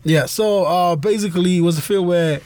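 A young man from Nigeria chatting and joking casually with friends, slangy, sweary and lively in his storytelling. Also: high-pitched, normal speed, slight background noise. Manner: animated, slangy, swearing, jokey.

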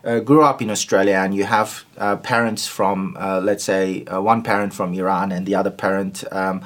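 Hesitant low-voiced man, Turkish native. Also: steady tone, normal speed. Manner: hesitant, many ums and restarts. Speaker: guest. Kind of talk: conversational interview.

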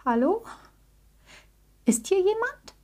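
Woman voicing a timid little bear boy, high-pitched. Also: animated, unhurried, a little mellow. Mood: scared, searching. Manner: plaintive, timid. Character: small frightened young bear boy, lonely and childlike.

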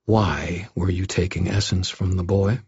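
A man voicing a stern, wry investigator wizard in an audiobook, speaking in a quiet, measured and threatening way. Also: low-pitched, steady tone, gravelly, breathy edge, highs cut off, full character voice. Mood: quiet menace. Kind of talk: audiobook first-person dialogue.

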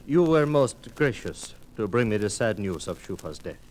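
An actor playing a courteous but evasive Egyptian merchant in an old-time radio drama, with formal, over-polite speech. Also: male voice, fairly low pitch, very animated, moderate pace, darkish tone. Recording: vintage radio recording, faint noise.

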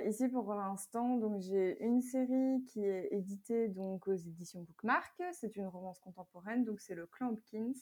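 A woman's voice: medium pitch, dark tone. A woman in her mid twenties from Belgium, chatting casually as an author being interviewed.

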